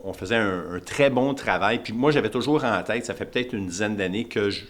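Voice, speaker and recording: A man's voice: lowish voice, fairly quick. A man with a Québécois French accent hosting a podcast, asking rambling questions with restarts. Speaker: podcast host. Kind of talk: casual podcast interview.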